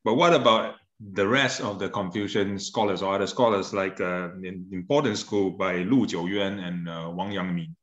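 A man's voice: sits low, moderate pace, few pauses, fairly bright tone, echoey room, muffled recording. A man asking a question on a video call, hesitant and repeating words as he searches for terms. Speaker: participant.

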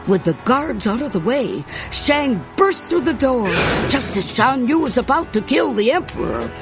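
A woman's voice: sits high, very animated, moderate pace. A woman narrating a children's storybook as a scripted read-aloud. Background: music.

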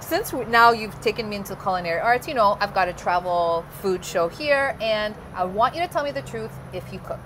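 Lively bubbly young woman host. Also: mid-range voice, fairly quick, few pauses. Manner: bubbly, laughing often. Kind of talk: casual interview chat. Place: high-rise room with big windows. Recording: noticeable background noise.

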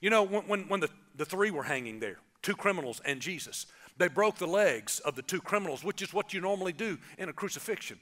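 A man, preaching an animated Easter sermon from a church stage and calling on the congregation to answer. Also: high-pitched, a little fast, hardly any pauses. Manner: animated, gestures broadly, calls on the congregation. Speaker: pastor. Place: church stage, large indoor auditorium.

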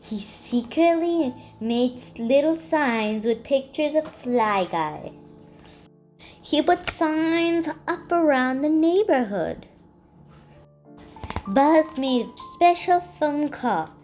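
A child reading a picture book aloud in a deliberate, simple storytelling manner for young listeners. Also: sits high, animated, darkish tone, faint music behind. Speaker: read-aloud host.

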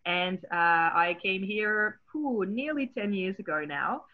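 A woman's voice: medium pitch, takes it slowly. Dutch-accented woman on a tinny call. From Netherlands. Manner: friendly, explanatory, many "uh" fillers. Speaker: marine biologist and educator. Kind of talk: presenting slides on a video call. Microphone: video call. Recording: tinny phone-like call audio.

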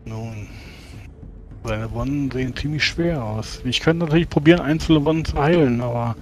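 A man playing a tabletop role-playing game, talking in character in a measured, deliberating way. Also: medium pitch, soft background music.

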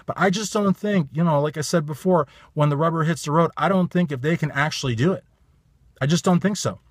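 A man in his thirties with a raspy voice, giving a blunt, emphatic bodybuilding opinion vlog from a parked car on a phone held at arm's length. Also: fairly high pitch, brisk, dry recording, studio quality. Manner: blunt, emphatic, repeats stock phrases. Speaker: bodybuilding commentator.

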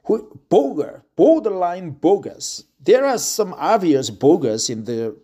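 A man with a Korean accent reads slowly in English, often going back over a phrase before he moves on. His voice sits a little high for a man, and his tone stays relaxed and conversational between the sentences he reads. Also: husky. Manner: reads slowly, repeats phrases, breaks off to comment. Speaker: reader practicing English. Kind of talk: reading aloud with casual commentary.

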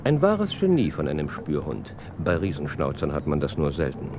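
An actor playing a shrewd, self-assured master detective in a radio play, commanding, wry and deliberate. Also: male voice, rumbling low, wildly swooping pitch, background noise, full character voice. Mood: alert.

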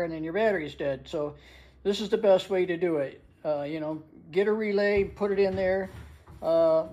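Low-pitched older woman, plain-spoken. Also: a little mellow. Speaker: hobbyist demonstrator. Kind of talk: casual hands-on tutorial. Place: indoors at a wooden workbench.

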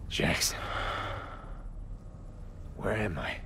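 An actor playing a gruff, wounded middle-aged vigilante in a video game, terse, weary and gravelly. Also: male voice, extremely slow, very noisy. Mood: dazed. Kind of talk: video game cutscene acting.

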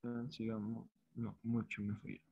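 A man's voice: on the low side, flat delivery, a little slow, no echo, highs cut off. Man tutoring chemistry, dry close sound. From Peru. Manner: informal, repeats words while writing on a board. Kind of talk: online exam review class.

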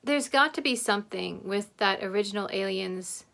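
A woman's voice: medium pitch, normal speed, vocal fry, loud music behind the voice. A woman in her thirties reacting casually to a show, commenting as she watches. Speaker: host reacting to a TV episode. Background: soundtrack of the episode.

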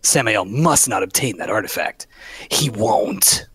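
A woman's voice: a bass voice, expressive, a little slow, a bit bright, husky, no echo. A woman chatting casually while playing an online game, playful and jokey, sometimes reading quest text aloud. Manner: playful, jokey, breaks into silly voices.

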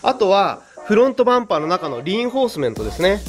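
Lively, high-pitched man vlogging over music. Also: very fast. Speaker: host of a car garage channel. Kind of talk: casual explanatory vlog to camera. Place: garage workshop, indoors. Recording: music behind the voice. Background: background music.

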